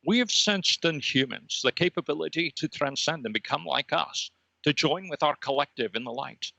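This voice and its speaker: A man voicing a serene extra-dimensional light being with formal, solemn, halting speech on a comedy podcast. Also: a little high, creaky, pushed and bright, no echo, highs cut off, heavily acted. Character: lofty extra-dimensional red dot energy being, serene and cosmic. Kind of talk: improvised comedy podcast character.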